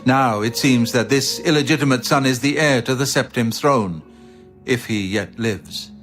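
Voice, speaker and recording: Guy acting serious learned ex bodyguard captain, fantasy game, measured and solemn, bit of music behind. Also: medium pitch, a bit bright, natural voice. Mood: solemn, explanatory. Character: grave, learned former royal bodyguard captain, loyal and measured. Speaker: video game character. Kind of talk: scripted fantasy game dialogue.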